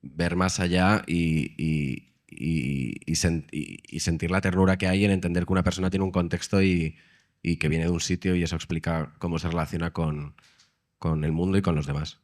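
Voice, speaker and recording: Low-voiced thoughtful male actor. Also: steady tone, normal speed, darkish tone. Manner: thoughtful, restarts with repeated words. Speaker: actor on a panel. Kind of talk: live panel talk. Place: festival press event room.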